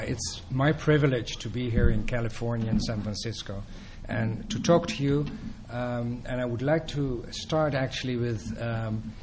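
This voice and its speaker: A man with a Dutch accent giving a public lecture, often pausing with filler sounds. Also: mid-range voice, background noise. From Netherlands. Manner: hesitant, many filler sounds. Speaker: guest speaker.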